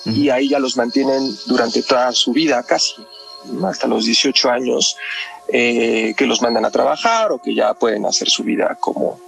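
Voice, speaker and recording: Gravelly Mexican man explaining casually. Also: medium pitch, moderate pace, a bit bright, faint music behind. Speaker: podcast guest, psychoanalyst.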